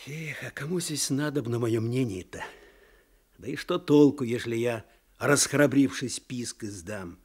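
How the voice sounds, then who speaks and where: An actor playing a weary, sardonic older provincial clerk in a radio drama, with a husky voice and folksy, wry speech. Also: male voice, mid-range voice, measured pace. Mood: resigned, bitter. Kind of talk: Soviet radio drama acting.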